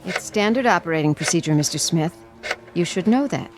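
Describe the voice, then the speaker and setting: An actress playing a wary, loyal newspaper employee in a radio drama, direct and concerned. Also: female voice, lowish voice, expressive, brisk, over music, lightly acted. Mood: brisk, suspicious.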